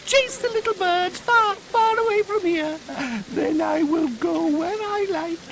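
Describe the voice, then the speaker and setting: A woman's voice: very high voice, unhurried, creaky, a crowd in the background, full character voice, low-quality recording. A woman playing a gleeful, deranged asylum inmate in a video game, chanting repetitively in a singsong with cackles. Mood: manic, mocking.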